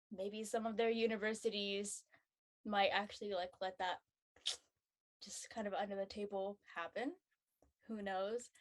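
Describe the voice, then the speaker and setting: A woman chatting casually as a panel guest, jumping from one thought to the next. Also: mid-range voice. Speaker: actor on a drama panel. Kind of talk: casual chat.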